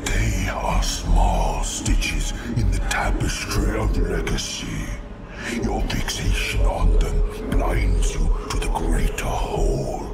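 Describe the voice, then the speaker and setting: An actor playing a cold, imperious male zealot in a fantasy game, making slow, grandiose pronouncements in a gravelly, breathy rasp. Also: rumbling low, heavily acted. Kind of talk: dramatic fantasy game acting. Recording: loud music behind the voice. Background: loud game music.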